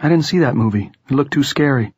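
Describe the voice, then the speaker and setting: A man's voice: mid-range voice, animated, fast, darkish tone, slightly rough, dry recording, pitched above the performer's own voice. A man reading an audiobook, voicing a timid young pregnant woman with a small voice. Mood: soft.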